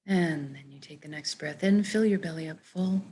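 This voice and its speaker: A woman with a slightly low voice guides a meditation. Her delivery is gentle and measured, with soft phrases that trail off as she gives soothing instructions.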